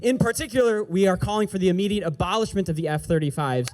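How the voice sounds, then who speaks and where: High-voiced angry rally speaker, man. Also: fast talker, heavy background noise. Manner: angry, emphatic, swearing, repeats slogans. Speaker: rally speaker, activist organizer. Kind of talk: impassioned rally speech. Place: outdoor street rally.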